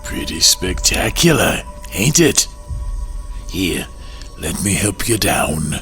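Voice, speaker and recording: An actor playing a tall, sinister vampire host in an audio drama, folksy and insistently courteous. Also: male voice, deep voice, big pitch swings, slow pace, sharp tone, faint music behind, full character voice.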